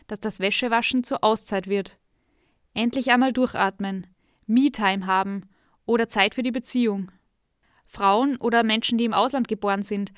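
A woman narrating a podcast reportage in a calm, even reading voice. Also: a little high, clear, resonant tone, no room sound, clean studio sound. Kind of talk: scripted narration.

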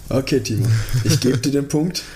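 Casting: male co-host for a podcast, medium-pitched voice, informal conversational delivery. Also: normal speed, mellow. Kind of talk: casual chat.

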